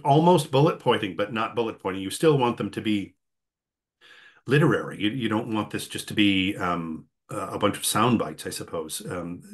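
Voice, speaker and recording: Male interviewer, lowish voice, conversational, rambles through his questions as he thinks aloud. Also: a bit bright. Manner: rambling, thinking aloud, frequent throat-clearing. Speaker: host and interviewer.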